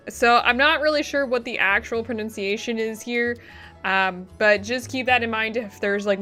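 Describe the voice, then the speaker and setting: A woman's voice: medium pitch, bright, forceful tone. A woman hosting a let's play, giving casual game commentary. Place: voice-over on game capture. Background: game music.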